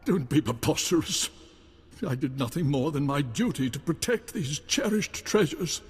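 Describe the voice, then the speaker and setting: An actor playing a prim, anxious elderly museum curator in a video game. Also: male voice, a little high, lively intonation, normal speed, breathy edge, big-room sound. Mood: indignant, pleading. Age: older. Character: fussy, devoted elderly male museum curator.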